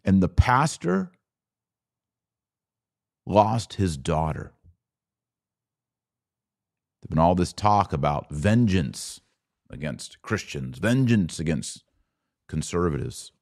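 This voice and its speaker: A man hosting a podcast, giving solo commentary on the news in an earnest, emphatic way with short, deliberate phrases. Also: deep voice, sing-song, vocal fry, a little mellow.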